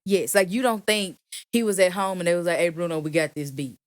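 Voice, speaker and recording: A woman singer and songwriter with a deep voice, chatting as a guest in an animated, emphatic, playfully teasing way. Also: fairly quick, no room sound. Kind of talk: casual interview chat.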